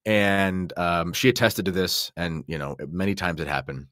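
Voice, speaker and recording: Low-voiced man explaining on a podcast. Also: moderate pace, fairly bright tone, dry recording, studio quality. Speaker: podcast guest or co-host commentator. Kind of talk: conversational podcast commentary.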